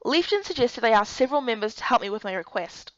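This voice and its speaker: A woman's voice: a little high, expressive, fast, no echo, highs cut off, close to an everyday voice. A woman playing a weary, frustrated young heroine in a fantasy game, plaintive and plain-spoken. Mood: determined.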